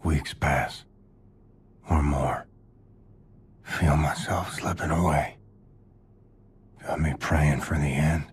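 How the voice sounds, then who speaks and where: Very deep, weary dying soldier. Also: male voice, steady tone, slow, long pauses. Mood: weary despair. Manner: grim, hushed, terse. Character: weary, dying soldier hero brooding inwardly. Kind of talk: brooding game monologue. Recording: clear background noise.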